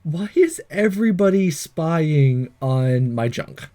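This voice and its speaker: Male let's-play host: animated, slightly high but soft-edged voice, casual and wry, thinking aloud while playing. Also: takes it slowly. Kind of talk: casual game commentary, reading text aloud.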